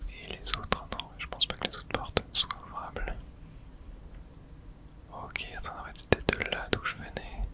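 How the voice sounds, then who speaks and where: A person whispering breathy, casual video game commentary in ASMR style, thinking aloud in short remarks close to the microphone. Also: normal speed, long pauses.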